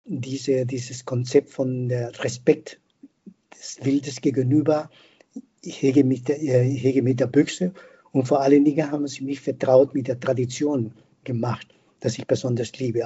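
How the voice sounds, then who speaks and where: A man's voice: mid-range voice, warm tone, breathy edge, lo-fi sound. An older man, a non-native speaker with a foreign accent, giving a reflective interview and repeating words as he searches for phrasing. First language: not German; a non-native speaker of German. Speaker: podcast guest, veterinarian and hunter.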